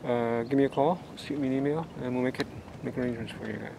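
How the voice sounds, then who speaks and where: Gravelly man on a windy marina dock. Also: mid-range voice, fairly even intonation, quick pace. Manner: rambling, many fillers, upbeat and hopeful. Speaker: skipper of a youth sailing nonprofit. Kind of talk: casual walkthrough vlog. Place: outdoors on a marina dock beside a sailboat. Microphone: handheld camera mic. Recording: loud wind and water noise outdoors. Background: wind and boat ambience.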